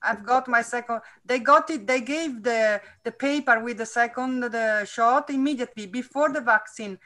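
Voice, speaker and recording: A woman's voice: a little high, normal speed, bright, forceful tone. A woman with an Italian accent, a native Italian speaker chatting casually and emphatically, repeating her phrases. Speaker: class participant. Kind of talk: casual chat.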